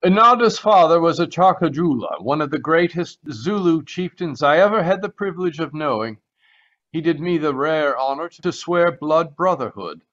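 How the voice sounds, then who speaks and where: A man playing a proud, haunted retired colonel in a radio mystery, formal and excitable, heard over a video call. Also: a little high, normal speed. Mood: proud, cordial. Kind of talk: 1940s radio mystery acting. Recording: dull, lo-fi video call audio.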